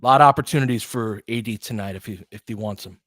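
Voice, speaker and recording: A man in his forties doing casual play-by-play of a basketball game, chatty and excitable, thinking aloud between plays. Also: fairly low pitch, fast talker, no room sound. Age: 40s. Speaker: play-by-play host. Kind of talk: casual fan play-by-play commentary.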